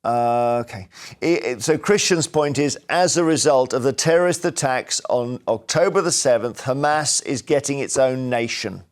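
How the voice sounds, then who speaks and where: Brisk male debate host. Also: mid-range voice. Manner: brisk, interjecting, moderating. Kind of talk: TV debate hosting. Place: TV studio.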